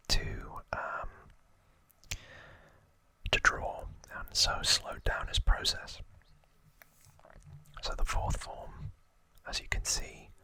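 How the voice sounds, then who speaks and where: A man whispering trivia as an ASMR host, in a hushed, informal and unhurried explaining manner. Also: no echo.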